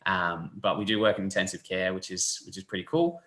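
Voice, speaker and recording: A young man, a physiotherapy lecturer explaining the course in a relaxed, friendly way over a video call. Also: low-pitched, fairly quick. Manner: relaxed, friendly, loose fillers. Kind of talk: casual explanatory chat. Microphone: webcam on a video call. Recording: echoey room.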